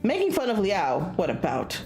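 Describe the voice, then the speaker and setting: Woman playing a breezy, protective young senior guy, animated. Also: medium pitch, moderate pace, reverberant, faint music behind. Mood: breezy, reassuring.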